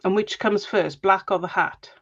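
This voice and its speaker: A Welsh woman chatting casually while she crafts, friendly and quick to laugh. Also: mid-range voice, lo-fi sound. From Wales.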